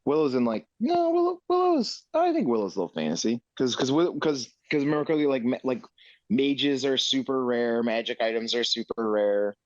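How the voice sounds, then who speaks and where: A man's voice: medium pitch, very animated, moderate pace, darkish tone, no echo, muffled recording. Profane, stammering male podcast co-host. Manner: loose, profane, stammering restarts. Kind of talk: casual chat.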